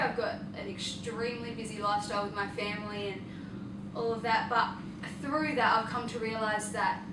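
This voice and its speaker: A young woman in her late teens with an Australian accent, answering informally as a student on a panel. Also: a little high. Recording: distant echoey room with clear noise.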